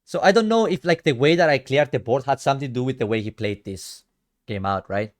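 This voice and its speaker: A man's voice: mid-range voice, a little fast. A young man from Cyprus with a Greek Cypriot accent, casually commenting on his own card game matches and chatting with viewers on a stream. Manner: chatty, slangy, talks to chat, often drops to a mutter. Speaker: streamer reviewing card games.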